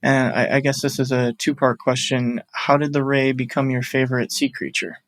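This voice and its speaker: Measured, reflective man in casual chat. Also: mid-range voice, flat delivery, a bit bright, no echo. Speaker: podcast team member.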